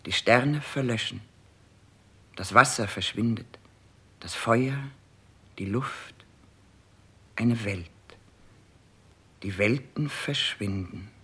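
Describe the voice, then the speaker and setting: An actor in a 1960s German radio play playing a loyal royal attendant who laments in solemn, emphatic declamation. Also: male voice, on the low side, slow pace, pauses often, pushed and bright, breathy edge, full character voice. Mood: solemn, regretful.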